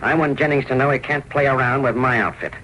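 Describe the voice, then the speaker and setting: An actor playing a menacing, vindictive American racket boss in a 1930s radio drama, domineering and full of gangster slang. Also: male voice, mid-range voice, fast talker, close to an everyday voice. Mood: vengeful. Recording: old radio recording with steady hiss.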